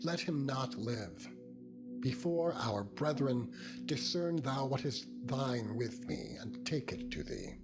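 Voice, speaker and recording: Guy doing aggrieved old testament patriarch, solemn archaic speech, big swings in pitch, music under it. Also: very animated, takes it slowly. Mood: earnest. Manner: declamatory, archaic solemn phrasing. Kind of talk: dramatized scripture reading. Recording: music bed behind the voice.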